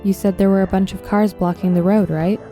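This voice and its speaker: A young woman playing a tough, sardonic zombie killer in an audio drama, with a deadpan, teasing, brisk delivery. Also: mid-range voice, soft background music, close to an everyday voice. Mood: cocky, coaching. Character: tough, sardonic twenty-five-year-old woman, cocky zombie killer. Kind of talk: audio drama acting, casual dialogue.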